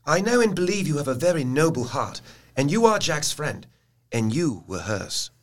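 An actor playing a bereaved young English nobleman who speaks formally and emotionally, in a halting, courteous way. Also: a little high, sing-song. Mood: subdued, grateful. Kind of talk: period audio drama acting.

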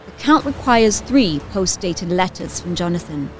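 Woman narrator, measured, over music. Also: on the low side, animated. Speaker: announcer and recap narrator. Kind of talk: scripted dramatic narration.

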